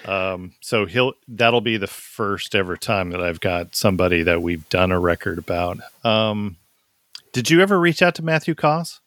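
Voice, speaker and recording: A man, a fellow podcast host, chatting casually and easily, with quick affirmations. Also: low-pitched, lively intonation, fairly bright tone, no echo. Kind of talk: casual conversation.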